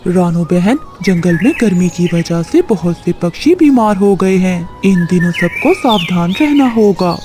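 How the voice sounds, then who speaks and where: A woman's voice: on the low side, animated, a little slow, hardly any pauses, music in the background. A woman voicing a stern, protective male bird in a children's cartoon, with an admonishing manner. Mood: concerned.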